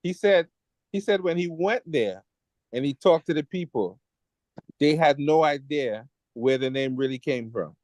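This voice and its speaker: Animated storytelling man, dry sound. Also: fairly high pitch, measured pace, no echo. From United States. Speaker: panelist. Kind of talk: casual group chat.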